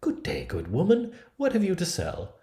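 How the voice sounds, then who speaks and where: Innocent storybook maiden performed by a man, lifted pitch, simple earnest delivery. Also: wildly swooping pitch, moderate pace, dark tone, roomy sound. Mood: friendly.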